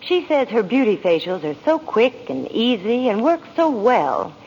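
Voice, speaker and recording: A woman's voice: a little high, sing-song, unhurried, darkish tone, slightly rough. A woman doing scripted 1940s radio advertising dialogue as a spokeswoman. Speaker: commercial spokeswoman. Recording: dull, echoey old radio recording.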